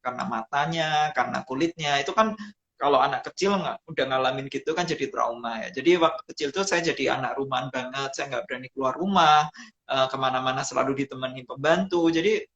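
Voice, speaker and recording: A man from Java, Indonesia, talking as the guest in a live-streamed interview, telling stories reflectively and pausing often on hesitant "eh" sounds between phrases. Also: medium pitch, a bit bright, highs cut off. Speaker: guest, writer and photographer. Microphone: video call.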